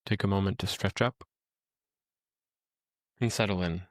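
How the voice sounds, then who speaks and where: A man leading a guided meditation as a teacher, speaking in a calm, gentle, instructional way with pauses. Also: deep voice, no room sound, studio quality.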